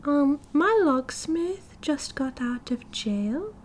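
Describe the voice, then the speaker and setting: Woman acting a high-pitched, giggly British yandere girl. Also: lively intonation, takes it slowly, a little hiss or hum. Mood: excited, doting. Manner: giggly, cutesy, doting, breezy. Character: cheerful, oblivious, possessive yandere young woman kidnapper. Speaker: ASMR roleplay performer. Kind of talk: ASMR roleplay, intimate one-sided conversation. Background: car driving sounds.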